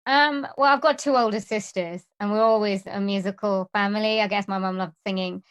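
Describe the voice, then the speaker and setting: A Welsh woman talks as an interview guest, earnest and open. She pauses often with soft ums, and her voice sits at a middle pitch. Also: fairly even intonation, smooth. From Wales. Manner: earnest, hesitant with frequent ums. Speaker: guest, singer and voice artist. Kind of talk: casual interview answers.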